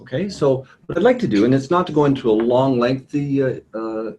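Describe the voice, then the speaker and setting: A middle-aged male instructor leads a class informally in a mid-pitched voice. He hesitates and corrects himself often. Faint room noise sits behind him. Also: a little mellow, highs cut off. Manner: hesitant, frequent pauses and self-corrections. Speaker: university instructor. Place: classroom with online participants.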